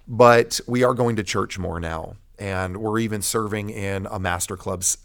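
A man, a pastor, telling his personal testimony in conversation as a guest, emphatic, with deliberate pauses and repeated phrases. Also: lowish voice, steady tone, a bit bright.

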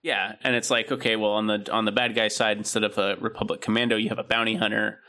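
A man's voice: fairly low pitch, quick pace. A young man co-hosting a podcast, chatting casually and explaining things loosely with lots of "um" and "uh". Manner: relaxed, explains with many fillers. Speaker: podcast co-host. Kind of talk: casual chat.

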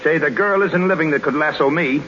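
An actor playing a cocky, nosy ballplayer buddy in a 1930s radio comedy. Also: male voice, on the high side, brisk, a bit bright, close to an everyday voice. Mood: cocky, prying. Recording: dull, faintly noisy 1930s radio recording.

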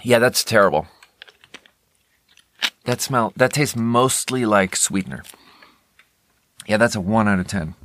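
Low-voiced American man, casual solo podcaster. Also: unhurried, pauses often, fairly bright tone. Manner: candid, conversational, self-interrupting. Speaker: podcast host. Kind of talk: casual rambling solo talk.

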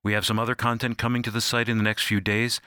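A man's voice: medium pitch, level tone, quick pace, pushed and bright, clear, resonant tone, dry recording, studio quality. A man announcing a radio program in a scripted style, recorded at home. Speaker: radio show announcer and co-host. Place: remote home recording, dry.

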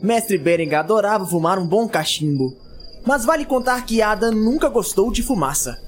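An actor playing a genial storyteller, narrating a fantasy audio drama as if to an adventurer. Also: male voice, sits high, expressive, normal speed. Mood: genial, storytelling. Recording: clear night ambience noise with crickets. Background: crickets, night ambience.

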